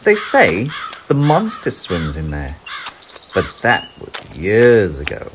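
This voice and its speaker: Slow, highly animated children's storybook narrator. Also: noisy background. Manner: dramatic, suspenseful, deliberate phrasing. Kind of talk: expressive children's read-aloud storytelling.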